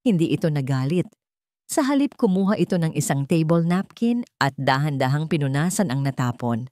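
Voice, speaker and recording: A woman audiobook narrator reading a dramatic story with suspenseful, deliberate phrasing. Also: low voice, lively intonation, a little mellow, dry recording, clean studio sound.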